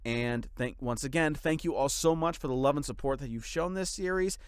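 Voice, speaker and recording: A man giving a casual update to his followers as a channel creator, speaking in a gushing, grateful, informal way with a clear, smooth voice. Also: a little high, a little fast, no echo, studio quality.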